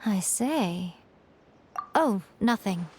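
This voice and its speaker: A sly fox spirit lady in an anime-style game, with a medium-pitched voice. The manner is unflappable and elegant, full of wry teasing and nonchalance. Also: expressive, extremely slow, a little mellow, slight background noise. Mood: calm, cautioning.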